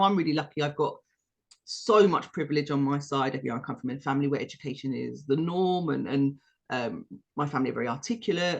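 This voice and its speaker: Measured, reflective British woman. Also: low-pitched, highs cut off. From United Kingdom. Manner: measured and reflective, building points step by step. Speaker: podcast co-host. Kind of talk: explanatory podcast discussion.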